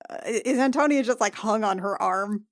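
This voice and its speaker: A woman playing a tabletop game, improvising casually in character with a halting, flustered, awkward manner. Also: medium pitch, no room sound, clean studio sound.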